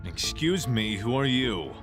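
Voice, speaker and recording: A man's voice: medium pitch, very animated, measured pace, music in the background. A man playing a blunt, suspicious police inspector in an audio crime drama. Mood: firm, probing.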